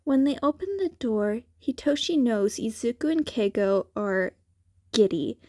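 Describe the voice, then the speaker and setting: A woman's voice: a little high, lively intonation, unhurried, dark tone, no echo, clean studio sound. A woman reading fan fiction aloud as a scripted narration. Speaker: podfic reader.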